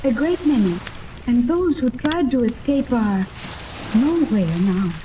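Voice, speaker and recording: A woman's voice: on the high side, animated, a little slow. A woman playing an earnest, sheltered young girl in a 1930s radio serial, speaking in formal, precise, literal-minded sentences. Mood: solemn. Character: earnest, sheltered young girl raised among cold scientists. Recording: hissy old 1930s radio recording.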